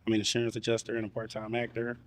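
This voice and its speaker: A man's voice: medium pitch, monotone, very fast. A man in his forties, a dating show contestant speaking in a matter-of-fact way. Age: 40s.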